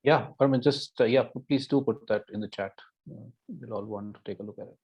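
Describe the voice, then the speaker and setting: Man, moderator, mid pitch, hesitant, uhs, dull call audio. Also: brisk, slightly rough. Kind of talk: moderating an online seminar.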